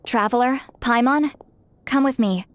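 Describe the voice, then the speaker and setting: A woman's voice: a little high, measured pace, a little hiss or hum, close to an everyday voice. A woman playing a cool, reserved but loyal young female artist in a video game, with an understated, matter-of-fact manner. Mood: reluctant, resigned.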